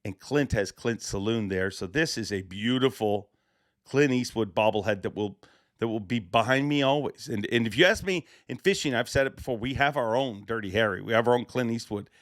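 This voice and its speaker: Slightly raspy, excited podcast host. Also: male voice, mid-range voice, brisk, no echo, clean studio sound. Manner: excited, emphatic, rambling. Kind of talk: casual podcast monologue.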